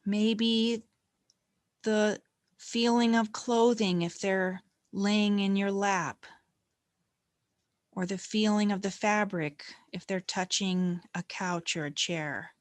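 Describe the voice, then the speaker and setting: A woman leading a guided meditation in a gentle, calm, unhurried manner with long pauses between phrases. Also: mid-range voice, no room sound.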